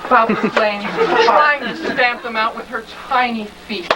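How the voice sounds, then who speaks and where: A man's voice: very high-pitched, fairly even intonation, normal speed. A man narrating a children's story in a mock-serious, melodramatic theatrical style. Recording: distant, very noisy live stage recording.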